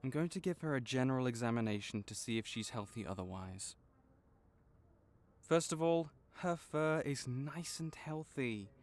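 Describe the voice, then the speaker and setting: A man playing a kind, patient veterinarian for magical creatures in a comforting audio roleplay, explaining things gently and encouragingly. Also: mid-range voice, expressive, normal speed, natural voice. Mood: upbeat, approving. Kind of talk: comforting audio roleplay, one-sided conversation.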